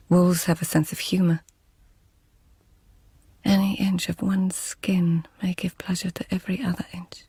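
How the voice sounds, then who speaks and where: An actress playing a poised, guarded court lady of old Japan in a radio drama, breathy and husky, with measured, understated delivery. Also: deep voice, dark tone, exaggerated voice. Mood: reflective, intimate. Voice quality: breathy, husky, near whisper. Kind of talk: radio drama acting, intimate narration and dialogue.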